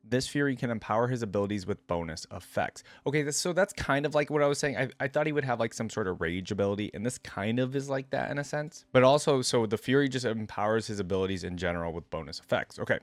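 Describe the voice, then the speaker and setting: A man's voice: medium pitch, expressive, quick pace, few pauses, dry recording. A man doing casual reaction commentary, thinking aloud with lots of filler "like" and reading game text aloud. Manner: thinks aloud, hedging with frequent "like". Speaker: reaction video host.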